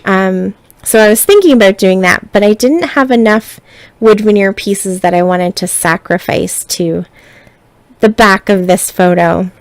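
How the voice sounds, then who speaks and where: Woman talking through a scrapbook layout, a bit stuffy, easygoing chatty tone, mid-pitched voice. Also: unhurried, no room sound. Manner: relaxed, chatty step-by-step explaining. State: stuffy, recovering from illness. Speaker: scrapbooking designer. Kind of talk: craft tutorial voice-over.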